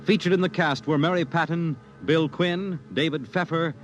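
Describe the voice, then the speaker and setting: A man's voice: on the high side, steady tone, normal speed, faint music behind. A man announcing and narrating formally and theatrically in the style of 1950s radio drama. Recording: vintage radio broadcast.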